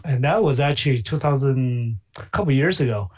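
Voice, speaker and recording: A man's voice: mid-range voice, lively intonation, a little mellow, slightly rough. Hesitant man, slightly dull call audio. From China. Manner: hesitant, many filler pauses. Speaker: guest, physician and investor. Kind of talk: interview conversation.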